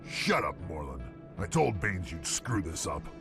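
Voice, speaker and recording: Gravelly thug, deep and swinging in pitch, music behind. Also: male voice, sing-song, measured pace, heavily acted. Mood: menacing. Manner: threatening, curt. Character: thuggish male blackmailer, menacing. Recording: game audio with music.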